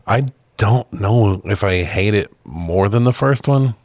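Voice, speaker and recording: A man's voice: fairly low pitch, lively intonation, measured pace, darkish tone, dry recording. A man co-hosting a podcast, talking casually about movies and video games. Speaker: podcast co-host. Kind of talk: casual film and game chat.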